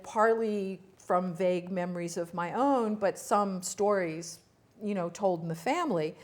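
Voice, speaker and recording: An older woman, born in the US to Ukrainian-speaking parents, telling stories about her childhood as an interview guest, with frequent fillers, restarts and small laughs. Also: on the low side. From United States. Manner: halting, many fillers and restarts, laughs at herself. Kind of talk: reflective personal storytelling.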